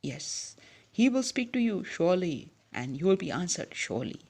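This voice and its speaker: A preacher speaking delivers an emphatic devotional sermon. The voice is gravelly and husky, and a steady "yes" marks the breaks between phrases. Quiet asides alternate with sudden loud emphasis. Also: mid-range voice, normal speed.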